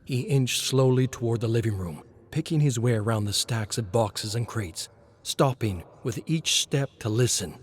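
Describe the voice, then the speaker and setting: A man's voice: medium pitch, normal speed. A man narrating a scripted suspense story as a narrator.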